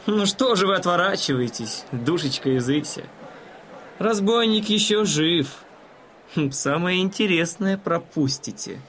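A condescending, blasé gentleman in a radio play, talking down to his companion. His voice is rather high and mannered, with slight ambient noise behind it. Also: expressive, a little slow. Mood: smug, callous. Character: smug, condescending gentleman spectator courting a young lady. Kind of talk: audio drama acting.

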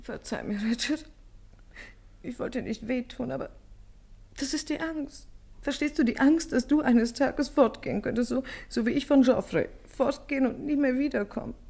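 A desperate runaway wife in an old-style radio melodrama. Her voice is high, halting and pleading, full of fear and guilt. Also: vocal fry, mellow. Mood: fearful, pleading. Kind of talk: melodramatic German radio play acting.

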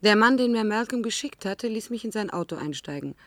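A woman's voice: medium pitch, animated, fairly quick, no echo, lightly acted. Casting: actress for apprehensive female narrator of a science fiction radio play, very dry studio acoustic. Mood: uneasy, narrating. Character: uneasy woman recounting her mysterious journey. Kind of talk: scripted radio drama narration.

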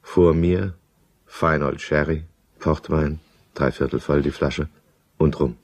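Deep-voiced, self-pitying drinking husband. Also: male voice, fairly even intonation, takes it slowly, exaggerated voice. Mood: brooding, confessional. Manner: brooding, self-justifying. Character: alcoholic German businessman husband, self-pitying, defensive, irritable. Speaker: lead character and first-person narrator. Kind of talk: German radio drama acting and narration.

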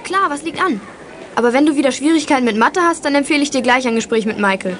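A cheeky, spirited teenage girl with a high voice. She is pert and teasing, in a youth radio play with clear vehicle noise behind. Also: lively intonation, quick pace, fairly bright tone. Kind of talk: German youth audio drama acting.

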